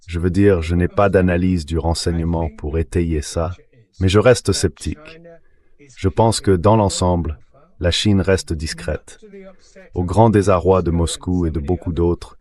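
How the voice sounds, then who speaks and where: Deep-voiced male analyst, measured. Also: a little mellow. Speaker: interview guest, analyst. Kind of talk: geopolitical commentary, AI-read French translation.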